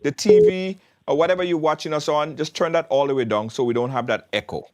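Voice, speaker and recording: A man hosting a live call-in show, directing callers and moving each call along briskly. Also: a little high, darkish tone. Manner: brisk, directive, quick to move calls along. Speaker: radio show host taking calls.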